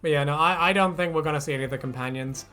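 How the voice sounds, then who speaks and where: A woman's voice: sits low, very fast, a bit bright, faint music behind. A woman chatting casually and jokily as a co-host, swearing freely and prone to giggling. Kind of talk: casual banter, reacting to fan comments.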